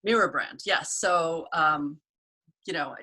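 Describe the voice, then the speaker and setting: Bright-voiced American woman hosting a call. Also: low-pitched, takes it slowly, slightly rough. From United States. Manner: chatty storyteller, warm laughs. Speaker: host. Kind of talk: casual video call chat. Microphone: video call.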